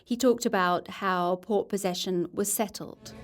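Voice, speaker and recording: Actress as curious female reporter for podcast fiction; medium-pitched, informal, conversational, dryly engaged. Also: fairly even intonation, a little slow, lightly acted. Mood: reflective narrating. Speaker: reporter and narrator. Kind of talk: first-person podcast narration and interview in audio drama.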